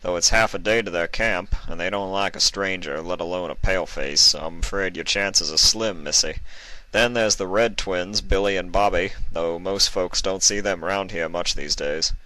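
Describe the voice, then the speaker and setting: A man's voice: sits low, normal speed, sharp tone, dry recording, rough recording. A man voicing a nervous, folksy young stallion barkeeper in a Western story reading. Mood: thoughtful, chatty. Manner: chatty, folksy, easily rattled. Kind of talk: storybook character voice.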